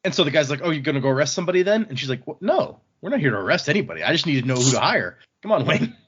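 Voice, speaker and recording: A man's voice: a little high, big pitch swings, racing, a bit bright, breathy edge, muffled recording. A man hosting a casual book podcast, recapping the story conversationally and loosely voicing characters' lines, with some swearing. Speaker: podcast host recapping a novel.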